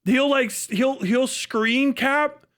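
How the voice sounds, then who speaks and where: High-pitched, bright-voiced man mocking on stream. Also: slow. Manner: mocking, emphatic, repeats himself for effect. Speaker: live streamer reacting to a video. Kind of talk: casual, profane commentary on a video.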